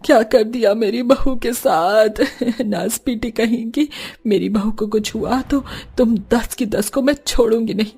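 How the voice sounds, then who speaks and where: Woman acting a husky, scolding old mother, pitched down. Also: a little slow, hardly any pauses, a little mellow. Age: older. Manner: scolding, threatening. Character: scolding, exasperated elderly mother-in-law with a sharp tongue.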